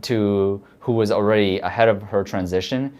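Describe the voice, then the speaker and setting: A woman's voice: rumbling low, normal speed, darkish tone. A woman in her twenties speaking reflectively and a little hesitantly in an interview. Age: 20s. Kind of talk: interview testimony.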